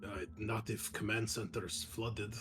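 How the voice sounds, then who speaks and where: A man playing a tabletop game, chatting casually and thinking his moves out loud. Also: fairly low pitch, little pitch movement, unhurried, background noise.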